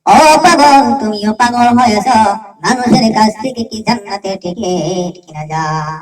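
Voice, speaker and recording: A woman's voice: mid-range voice, unhurried, darkish tone, roomy sound, recorded from a distance, faint music behind, lightly acted, low-quality recording. A woman storyteller voicing a stern, possessive caliph who scolds with repeated questions. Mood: angry scolding.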